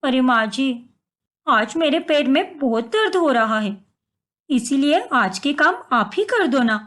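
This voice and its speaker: A woman's voice: sits high, lively intonation, a little slow, fairly bright tone. A woman voicing a scheming, deceitful young daughter-in-law in a story, whining and complaining dramatically. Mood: pleading.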